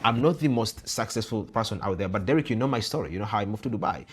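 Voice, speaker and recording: A man's voice: on the low side, animated, quick pace. A young man, hosting a casual interview in an earnest, emphatic way and often repeating his phrases. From Uganda. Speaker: host.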